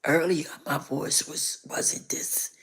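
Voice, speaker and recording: A woman hosting a casual live stream, hoarse with a rough, scratchy voice, repeating herself as she talks straight to her viewers. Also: low voice, fairly even intonation, slow pace, a bit bright, in a whisper.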